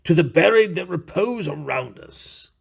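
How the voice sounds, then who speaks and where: A man's voice: sits high, lively intonation, measured pace, gravelly, breathy edge, full character voice. A man playing a boastful, gullible, tipsy nobleman in a dramatic story reading, pompous and exclamatory. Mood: tipsy, familiar.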